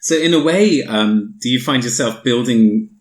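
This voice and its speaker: A man's voice: medium pitch, normal speed. A man hosting a podcast interview, chatting casually and a little hesitantly. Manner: relaxed, hesitant, many fillers. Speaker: podcast host. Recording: roomy echo.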